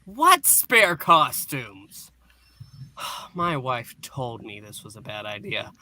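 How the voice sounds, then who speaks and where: A man's voice: mid-range voice, wildly swooping pitch, slow pace, sharp tone, a little hiss or hum. A man reading comic-book dialogue aloud as a casual amateur.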